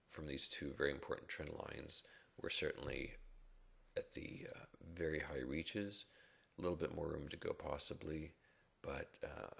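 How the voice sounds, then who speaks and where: A man with a rough early-morning voice, giving halting, reflective, unscripted commentary on stock charts in a casual way. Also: very deep voice, a bit bright. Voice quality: rough, gravelly, husky. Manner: halting, reflective, thinking aloud. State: rough early-morning voice, not yet warmed up. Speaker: stock market blogger and chartist.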